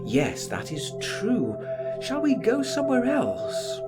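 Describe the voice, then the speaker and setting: Man playing a smooth, insistent seducer, very animated. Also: extremely high pitch, takes it slowly, over loud music, voice pushed up, exaggerated voice. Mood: coaxing. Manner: coaxing, firm, persuasive.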